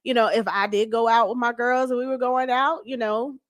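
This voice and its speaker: A woman in her forties talks as a guest in a casual interview. She is candid and conversational, telling things in an animated way. The sound is dry, with very little room around the voice. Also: a little high, a little fast, no echo. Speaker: talk show guest.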